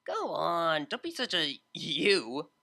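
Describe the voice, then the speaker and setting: Play a laid-back, teasing young mare DJ as the story's reader puts her on: bright and a little gravelly, lively up and down, slangy and blunt, a touch back from the mic. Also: mid-range voice, slow, heavily acted. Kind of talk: fan fiction reading, character voice.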